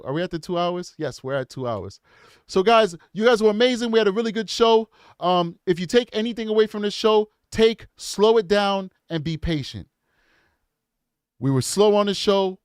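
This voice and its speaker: Male livestream host, hoarse, slightly high voice, upbeat and repetitive, dry close mic. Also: no room sound. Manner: upbeat, repeats himself, frequent ums. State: hoarse, losing his voice. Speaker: true crime livestream host. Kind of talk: casual livestream chat reading super chats.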